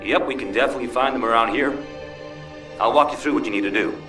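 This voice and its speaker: A man's voice: mid-range voice, fast, natural voice. A man playing a dutiful, cooperative soldier in a video game, talking in plain military style. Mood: assured. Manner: plain, compliant military speech. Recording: echoey, distant, under clear music.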